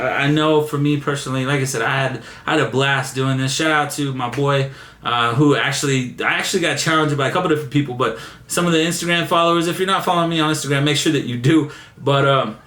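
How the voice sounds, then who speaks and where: Young male vlogger, energetic and casual, a little raspy, echoey room sound. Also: on the high side. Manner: excited, repeats words, says man a lot. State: still a bit raspy after laryngitis. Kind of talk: casual vlog chat to camera.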